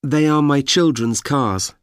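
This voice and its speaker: A man reading example sentences for language learners, deliberate and evenly paced. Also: mid-range voice, clear, resonant tone, dry recording, clean studio sound. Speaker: course audio speaker. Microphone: dry studio mic.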